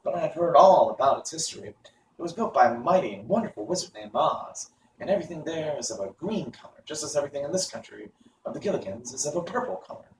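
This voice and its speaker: A man's voice: on the high side, pitched above the performer's own voice. A man voicing a plucky, self-assured young farm boy, proud and explanatory, in a children's storybook reading. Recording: roomy, noisy, distant microphone.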